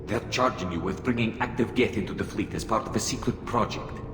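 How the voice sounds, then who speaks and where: Male alien captain played by a man, low-voiced, grave, dutiful, formal; game drama in a reverberant space. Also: natural voice. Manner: formal, measured. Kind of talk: video game voice acting. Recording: echoey hall, music underneath.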